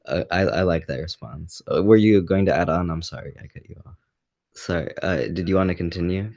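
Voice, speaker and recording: A man's voice: deep voice, fairly quick, gravelly, a little mellow, dry recording, muffled recording. A man interviewing, asking long, hesitant questions full of fillers.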